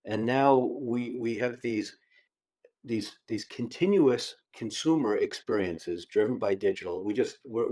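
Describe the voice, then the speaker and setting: A man in his fifties hosts a podcast with a low voice on a close, dry mic. He sounds wry and animated, and his pitch climbs sharply when he mimics a stubborn client. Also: no room sound. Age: 50s. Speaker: podcast host. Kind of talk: conversational interview.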